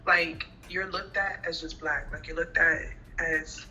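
A woman's voice: low-pitched, fairly even intonation, bright, forceful tone, lo-fi sound, faint music behind. A young woman from Honduras, a guest in a casual video call interview, talking from a phone in a small bedroom and filling her speech with "like". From Honduras, Garifuna. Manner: casual, fast, peppered with "like". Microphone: phone at arm's length on video call.

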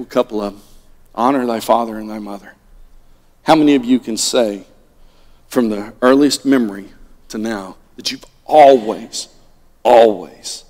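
A middle-aged man preaching a sermon from a pulpit, swinging between loud animated lines and hushed ones. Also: medium pitch. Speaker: pastor. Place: church sanctuary at a pulpit. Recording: long reverb of a church hall.

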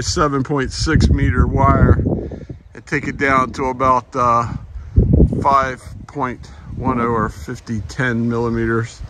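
An older American man talking casually as he hosts an outdoor hobby vlog. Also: medium pitch. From United States. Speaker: amateur radio operator and video host. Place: outdoors in a backyard garden. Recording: outdoor recording with clear background noise.

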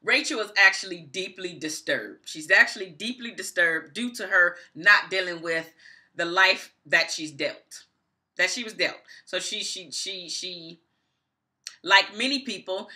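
A woman's voice: on the low side, unhurried, bright, forceful tone. A middle-aged woman giving a casual review to camera, stressing her points emphatically and repeating phrases. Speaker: book reviewer, vlogger. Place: small indoor room at home.

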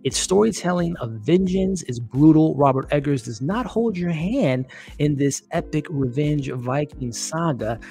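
A man's voice: on the high side, lively intonation, unhurried, vocal fry, warm tone, breathy edge. Man, 30s, live-stream host, chatty, upbeat, close desk mic, small room, faint background music. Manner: chatty, upbeat, laughs often, thanks viewers. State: losing his voice. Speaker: livestream host. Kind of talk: casual live-stream chat reading comments. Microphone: studio mic on desk, close.

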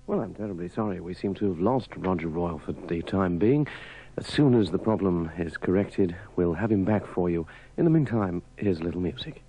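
A man's voice: sits low, expressive, brisk, dark tone, husky, low-quality recording. A man making formal continuity announcements on radio.

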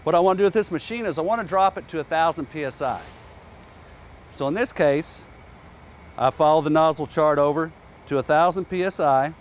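A man's voice: a little high, brisk, a little hiss or hum. A man in his forties giving a plainspoken, practical how-to demonstration outdoors on a lapel mic. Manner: plainspoken, practical, casual asides. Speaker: company presenter. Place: outdoor industrial yard.

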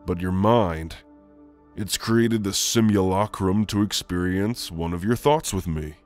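Man playing a slow, low-voiced gentle shadow demon. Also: soft background music. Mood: calm, explaining. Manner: soft, halting, soothing. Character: gentle male shadowy sleep demon, a shade. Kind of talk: ASMR roleplay, spoken to the listener.

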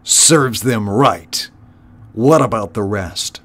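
A man's voice: mid-range voice, lively intonation, unhurried, natural voice. A man voicing a brutal, contemptuous one-eyed rat warlord from a storybook, bellowing and snarling his commands. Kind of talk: storybook character voice.

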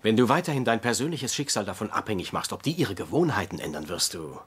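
A husky middle-aged mentor doctor in a dubbed TV comedy. He is gruff and sarcastic, with pitch that jumps around as he rants and insults. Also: very animated, quick pace, exaggerated voice. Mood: scornful. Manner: biting, insulting, ranting. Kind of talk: German dubbed TV comedy acting.

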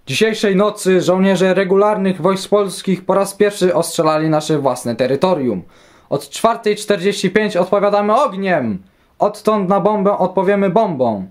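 A high-pitched man reads historical speeches and announcements. His delivery is solemn and scripted, suited to a radio documentary. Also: normal speed.

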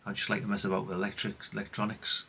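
Low, bright-voiced British man, rambling. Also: completely flat delivery, quick pace, vocal fry, breathy edge. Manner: rambling, self-deprecating, mildly sweary. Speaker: hobbyist radio repair presenter. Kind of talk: casual unscripted hands-on demonstration.

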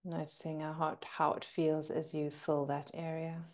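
A woman leading a guided breathing meditation as a teacher, speaking gently and soothingly with lingering pauses. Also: sits low, little pitch movement.

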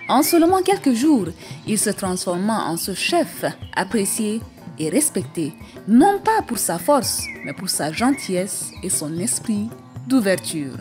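A woman storyteller reading a scripted African folktale for an animated story. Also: medium pitch, a little slow. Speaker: storyteller, narrator. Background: music.

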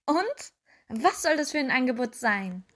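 Actress as a little witch kid in a radio play, earnest and brave, bright sharp voice, takes her time. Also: high voice, big pitch swings, a little slow, heavily acted. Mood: wary. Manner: earnest, dramatic, emphatic. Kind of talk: German audio drama acting.

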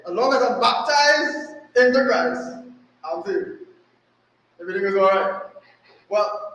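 A middle-aged Trinidadian man preaching with a strikingly high voice. He presses his points with repeated phrases and questions to the congregation. His voice rings through a small, very echoey church room. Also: a bit bright. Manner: emphatic, rhetorical questions and repetition. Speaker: resident preacher. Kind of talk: sermon. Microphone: stand mic at a lectern.

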